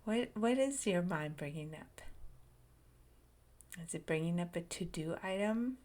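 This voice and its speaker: A woman leading a guided meditation, speaking softly in short phrases with long pauses and frequent whispered words. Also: on the low side, expressive. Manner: slow, soft and soothing, drifting into whispers.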